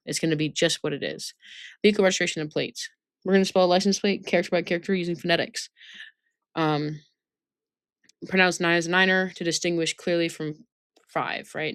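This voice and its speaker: A woman's voice: deep voice, a bit bright, dry recording. A woman instructor giving an informal training lesson, reading out points and explaining them, often checking that listeners follow. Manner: instructive, checks understanding with tag questions.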